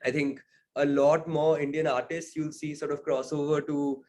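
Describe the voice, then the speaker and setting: A man's voice: a little high, flat delivery, moderate pace, a little mellow, slight background noise. A man being interviewed as a singer-songwriter, talking casually and thoughtfully, hedging often with "sort of" and "I think".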